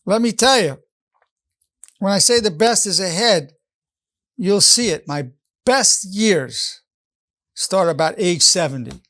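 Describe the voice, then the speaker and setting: A man's voice: high-pitched, animated, slow, pauses often, a bit bright. An older man in his late seventies, a psychologist giving an earnest motivational lesson and repeating his key phrases. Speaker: psychologist teaching an online lesson. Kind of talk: motivational lecture.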